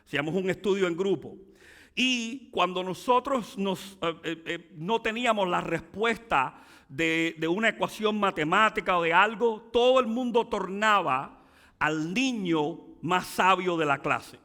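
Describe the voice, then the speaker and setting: Pastor guy preaching, switches to english sometimes, bright tense voice, big hall echo. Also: measured pace. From Cuba. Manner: preaching with rising emphatic peaks and dropping low asides. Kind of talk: bilingual sermon.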